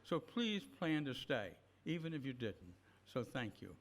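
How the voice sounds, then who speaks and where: A man's voice: medium pitch, sing-song, a little slow. A man leading a church service, giving blessings and announcements in an earnest, unscripted, encouraging way.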